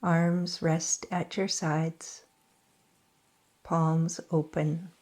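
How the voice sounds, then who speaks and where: Woman, meditation guide, very slow, mellow, soothing, flat and even. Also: low-pitched. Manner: slow, soft, soothing delivery with long pauses. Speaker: yoga nidra teacher.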